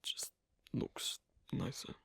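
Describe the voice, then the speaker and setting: A performer voicing an awkward, asocial werewolf in an ASMR roleplay, speaking in a husky half-whisper with halting, terse phrases, as a non-native English speaker. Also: no echo. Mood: patient, focused. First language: not English. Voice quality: breathy, husky. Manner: halting, terse, quiet instructions with clipped grammar. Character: asocial, awkward werewolf teaching sewing on a date.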